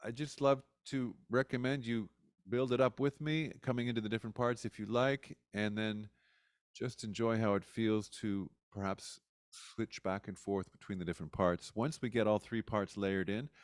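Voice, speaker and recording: Low, smooth-voiced man leading singing. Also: steady tone, normal speed, no room sound, clean studio sound. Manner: easygoing, encouraging, demonstrates by singing. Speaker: singing circle leader. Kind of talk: casual guided group-singing session.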